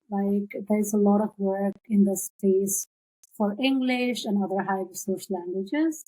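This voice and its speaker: A young woman from Nepal whose first language is Nepali talks as an interview guest. She speaks at an ordinary middle pitch and thinks aloud, with fillers and restarts. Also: dark tone, rough recording. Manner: thoughtful, with many fillers and restarts. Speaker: guest, applied scientist. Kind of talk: casual interview conversation.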